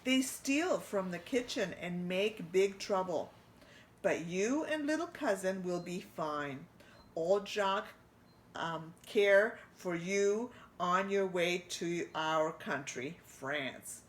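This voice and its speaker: A cheerful little male pet mouse , put on by a woman reading aloud, talks in broken English with a playful mock French lilt. The voice moves slowly and swings up and down. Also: fairly low pitch, expressive. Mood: reassuring.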